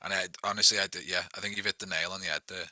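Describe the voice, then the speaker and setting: A young Liverpool man with a very low voice that still rings sharp and bright. He chats loosely about music as a guest, padding his thoughts with fillers. The sound is close and dry. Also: steady tone, racing, no echo. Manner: loose, filler-heavy, lots of "like" and "you know what I mean". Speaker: songwriter guest. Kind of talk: casual chat.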